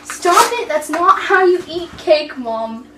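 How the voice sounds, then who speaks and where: A young woman with a high, very lively voice plays host in a kitchen cooking video, goofy and teasing as she chats through the steps. The recording is noisy and she sounds off the mic. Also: takes it slowly, far from the mic. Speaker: vlogger hosting a cooking video. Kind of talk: playful, chatty cooking demonstration. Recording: echoey, noisy room, off mic.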